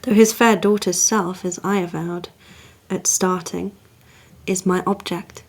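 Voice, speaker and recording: A cold, proud Renaissance duke performed by a woman delivers a dramatic verse monologue at a slow, measured pace. The manner is haughty and insinuating, often hushed, with possessive menace under the courtesy. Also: on the low side, steady tone. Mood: polished, imperious. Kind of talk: dramatic monologue, poetry reading.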